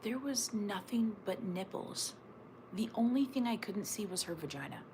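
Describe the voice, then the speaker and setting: Hushed, gravelly woman in a morning whisper. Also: mid-range voice, big pitch swings, normal speed, noisy background. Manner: hushed, half-whispered talk, rambling and joking. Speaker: livestream host reading chat. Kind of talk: casual morning livestream chat. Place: home with others asleep. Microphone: phone or camera on a tripod.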